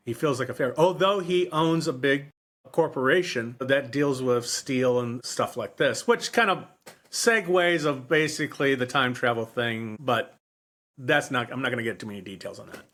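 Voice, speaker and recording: A man's voice: medium pitch, normal speed, a bit bright. An American man co-hosting a podcast, discussing books casually in a rambling way and repeating his phrases. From Michigan and Texas, US. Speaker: book podcast co-host.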